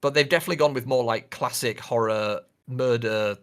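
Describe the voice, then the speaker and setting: A man's voice: medium pitch, brisk, fairly bright tone, smooth. A man co-hosting a podcast in casual chat, informal and hedging as he thinks aloud. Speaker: podcast co-host.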